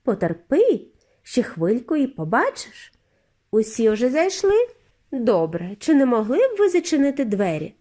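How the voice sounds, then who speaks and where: A woman voicing a giddy, eccentric factory owner in a storybook reading, with a high, clear, flute-like voice and effusive, drawn-out greetings. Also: wildly swooping pitch, warm tone, heavily acted. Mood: gushing. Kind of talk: audiobook character voice.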